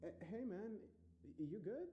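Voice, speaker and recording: A man's voice: sits high, animated, takes it slowly, lo-fi sound, soft background music, voice pushed up. A man voicing a concerned young roommate with hesitant, slangy bro talk and noise behind him. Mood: concerned, awkward. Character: concerned laid-back young male roommate.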